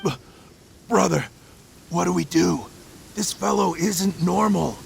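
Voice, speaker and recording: Creaky, know-it-all bandit lackey. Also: male voice, high voice, slow, a little hiss or hum, heavily acted. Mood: nervous. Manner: conspiratorial, condescending. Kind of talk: video game voice acting.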